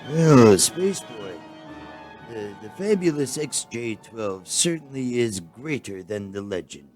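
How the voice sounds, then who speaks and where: An actor playing a mild, world-weary alien chancellor with a formal, hesitant, stagey manner in a comic radio play. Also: male voice, mid-range voice, animated, takes it slowly, a little mellow, soft background music. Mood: polite.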